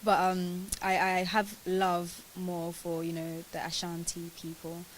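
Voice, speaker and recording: A woman's voice: on the low side, completely flat delivery, measured pace. A woman in her twenties from Ghana, chatting casually as she answers questions as an interview guest. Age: 20s. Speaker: interview guest, pageant winner. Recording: clearly hissy, noisy sound.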